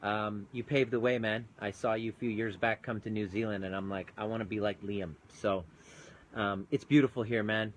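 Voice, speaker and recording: A man's voice: lowish voice, flat delivery, moderate pace, slight background noise, low-quality recording. A man, a chiropractor turned coach, talking earnestly and chattily on a live phone video outdoors with mountains in view. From Canada. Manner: earnest, chatty, greets viewers mid-thought. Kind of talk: live-streamed casual talk.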